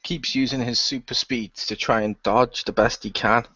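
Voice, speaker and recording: A man's voice: medium pitch, fairly even intonation, moderate pace, pushed and bright, slight background noise. A man narrating action in an improvised, amateur radio play and slipping into character lines. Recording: dull lo-fi voice recording.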